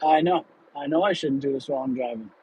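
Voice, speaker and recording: A man's voice: mid-range voice, fast, mellow, low-quality recording. A man in his late thirties, hosting an unplanned livestream while driving in traffic. He talks casually and candidly, rambling with fillers and restarts. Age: 30s. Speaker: livestream host. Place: car in traffic.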